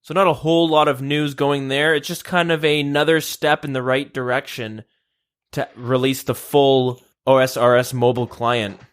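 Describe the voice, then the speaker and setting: A young man hosting a podcast in a relaxed way, leading the talk and reading out news. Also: mid-range voice, normal speed, clean tone. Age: young adult. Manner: steady, runs the show and leads topics. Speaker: podcast host. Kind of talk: casual chat, reading news. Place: shared room, two mics.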